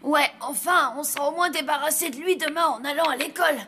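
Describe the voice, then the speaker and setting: A woman's voice: high voice, a little fast, a little hiss or hum. A woman voicing a fed-up young zombie schoolchild in a cartoon, grumbling matter-of-factly. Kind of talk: cartoon dub acting. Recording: loud music behind.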